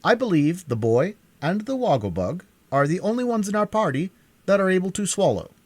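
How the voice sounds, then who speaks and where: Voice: medium pitch, sing-song, fairly quick, dry recording, voice pushed up. A reader voicing a polite, earnest stuffed scarecrow in a storybook, speaking emphatically.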